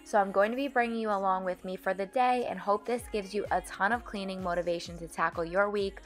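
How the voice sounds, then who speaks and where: A woman's voice: mid-range voice, brisk. A woman vlogger giving a casual, chatty, friendly voice-over narration. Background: background music.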